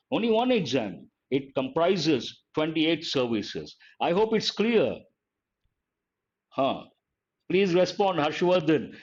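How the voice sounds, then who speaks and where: Older man, Indian English accent, fairly high voice, grammar teacher, slow deliberate explanations, muffled lo-fi sound. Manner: addresses students by name, asks and answers his own questions; slow, deliberate, repeats key points. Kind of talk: online grammar lesson. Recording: dull lo-fi audio.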